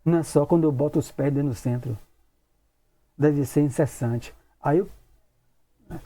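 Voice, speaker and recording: Man in his sixties, mellow timbre, fairly high pitch, informal teaching talk, frequent tag questions. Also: normal speed. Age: 60s. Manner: conversational, acts out imagined questions, repeats tag questions. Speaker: spiritist study group leader and lecturer. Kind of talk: informal study talk, reading and commenting.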